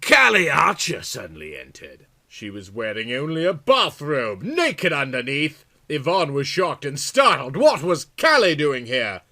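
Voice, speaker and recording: A man's voice: on the high side, wildly swooping pitch, a little slow, few pauses, bright, forceful tone. A man reading a story aloud in a theatrical, comic style with exaggerated, melodramatic delivery. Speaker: audiobook reader.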